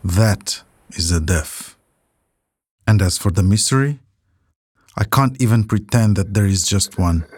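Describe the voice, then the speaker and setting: A man narrating a scripted documentary podcast, telling the story dramatically with deliberate pauses. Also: on the low side, a little mellow, slight background noise.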